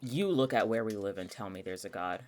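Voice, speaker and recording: A man's voice: fairly low pitch, brisk, close to an everyday voice. A young man acting in an audio drama as a cynical, anxious, jobless atheist, with a sardonic, argumentative way of talking. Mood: sardonic, gloomy. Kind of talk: naturalistic audio fiction dialogue.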